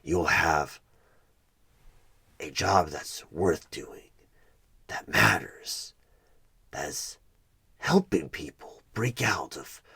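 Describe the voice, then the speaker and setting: Sinister devil-as-recruiter for horror ASMR: extremely low, husky and breathy, menacingly persuasive, often sinking into whispers. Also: male voice, fairly bright tone, full character voice. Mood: seductive, grandiose. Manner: slow, menacing, persuasive, drawn-out pauses. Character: sinister devilish male tempter recruiting as job interviewer. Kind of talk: horror ASMR one-sided roleplay.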